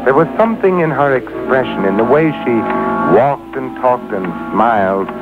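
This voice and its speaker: Actor as a suave, wisecracking radio adventurer, tinny sound. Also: male voice, medium pitch, lively intonation, normal speed, natural voice. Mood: wry, reflective. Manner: wry, urbane, teasing. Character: suave, wisecracking gentleman adventurer, quick to needle crooks. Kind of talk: 1940s-50s radio drama acting. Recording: tinny old radio drama taped off air, music behind.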